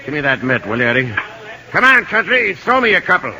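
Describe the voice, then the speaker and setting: Bossy baseball manager, high forceful voice, very sharp, swooping pitch, old radio drama. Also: male voice, big pitch swings, brisk, exaggerated voice. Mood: brisk, commanding. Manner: bossy, shouting across a field. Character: brisk, gruff baseball manager sizing up a rookie. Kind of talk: 1950s radio drama acting. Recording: old 1950 radio broadcast.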